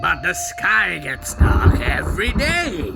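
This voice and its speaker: A sly old storyteller tiger, voiced by a performer, rasps out the tale slowly, his tone rising and falling a lot. The voice is rough and gravelly, with music playing under it throughout. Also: mid-range voice, huge pitch swings, heavily acted. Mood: puzzled. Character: boastful tiger narrating his own tale. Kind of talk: scripted audio storybook narration.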